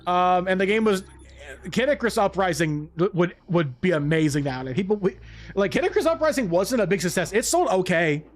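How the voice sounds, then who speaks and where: A man's voice: high voice, animated, a little fast. A man hosting a casual let's-play, chatting and rambling with a co-host while he plays, laughing often. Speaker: gameplay host.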